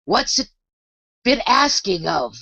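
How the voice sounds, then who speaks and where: A woman voicing a very old, grumpy male frog servant with a hoarse, slow drawl, in a storybook reading. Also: mid-range voice, very animated, bright, forceful tone, dry recording, voice pushed up, full character voice. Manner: muttering, ungrammatical.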